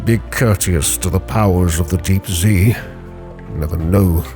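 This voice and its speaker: Man as a hushed, secretive informant over music. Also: sits low, measured pace. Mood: hushed, confiding. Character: furtive, courteous male manuscript-keeping informant, gravely cryptic. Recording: music bed behind the voice.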